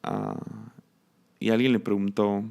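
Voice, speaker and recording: A man with a Mexican accent giving a reflective devotional teaching, musing aloud with restarts and soft laughs. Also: fairly low pitch, wildly swooping pitch, slow pace, gravelly, clean tone. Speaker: preacher.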